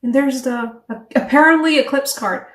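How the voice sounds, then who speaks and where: A woman giving a casual tarot reading to camera, chatty and animated, English not her first language, laughing at herself between rambling asides. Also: high-pitched, normal speed, distant mic. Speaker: tarot reader. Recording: echoey room, voice a bit off-mic.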